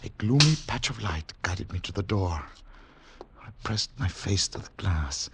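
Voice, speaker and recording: An actor playing a haunted secret agent on the run, narrating in dramatic, deliberate, clipped phrases in 1940s radio thriller style. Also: male voice, deep voice, lively intonation. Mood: hushed suspense. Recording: noisy 1940s radio recording with clicks.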